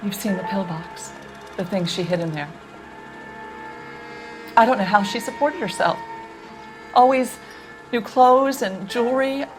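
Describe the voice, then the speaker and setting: An actress playing a guarded, proper housekeeper in a video game, with a hesitant and evasive manner. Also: female voice, mid-range voice, measured pace, long pauses, natural voice, poor audio. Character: guarded, flustered female housekeeper, proper yet gossipy. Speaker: domestic worker. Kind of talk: video game cutscene acting. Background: music.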